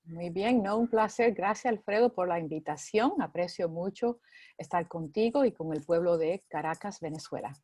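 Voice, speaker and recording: A woman's voice: on the low side, normal speed, darkish tone, no echo. A middle-aged woman with a Cuban accent, a guest in an interview, talking in a chatty way with frequent fillers. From Cuba. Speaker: guest in ministry. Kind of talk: conversational interview.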